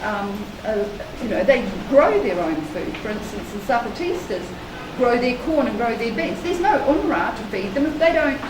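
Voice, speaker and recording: A woman speaks up from the audience at a seminar, blunt and emphatic. Her fairly high voice is far from the mic, and the room echo and background noise carry over her. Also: animated, normal speed, few pauses. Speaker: audience member. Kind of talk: seminar discussion. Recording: echoey room, distant and noisy.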